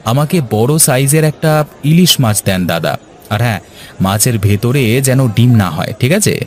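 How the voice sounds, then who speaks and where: A man's voice: mid-range voice, animated, normal speed, some chatter behind, lightly acted. A man voicing a poor, quarrelsome younger son in a cartoon, speaking in a complaining, bickering way. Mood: wary. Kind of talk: cartoon voice acting.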